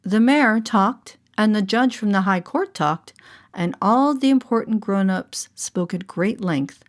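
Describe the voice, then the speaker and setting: A woman storyteller narrating a storybook with expressive, deliberate phrasing. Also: medium pitch. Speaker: storyteller and voice artist. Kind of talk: scripted storybook narration for all ages. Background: music.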